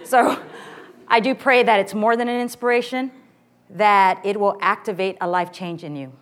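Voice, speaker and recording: Loud, lively woman in her forties. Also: medium pitch, normal speed. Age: 40s. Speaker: guest speaker. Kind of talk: inspirational testimony talk. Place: room at a women's morning gathering.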